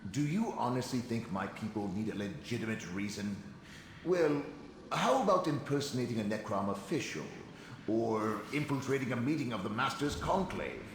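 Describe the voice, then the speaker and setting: A man's voice: medium pitch, a little hiss or hum. A man playing an eccentric, self-assured fantasy wizard in a video game, articulate, theatrical and musing, with a heavy ghostly reverb on his voice. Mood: cautionary, dry. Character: eccentric Telvanni wizard, male, self-assured and dryly fussy. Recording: very echoey reverberant hall sound, music behind.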